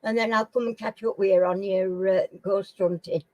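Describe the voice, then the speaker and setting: A middle-aged woman from Sheffield with a Sheffield accent, hosting a casual live chat with viewers in a slow, homely way and often clearing her throat. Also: medium pitch, fairly even intonation, a little mellow, dry recording, highs cut off. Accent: Sheffield English. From Sheffield, England. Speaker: live stream host.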